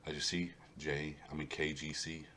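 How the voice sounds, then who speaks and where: Very deep-voiced congested man. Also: little pitch movement, moderate pace, pushed and bright, slight background noise. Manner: insistent, repeats points for emphasis. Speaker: host of a history mystery channel. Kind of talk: solo video talk over a map.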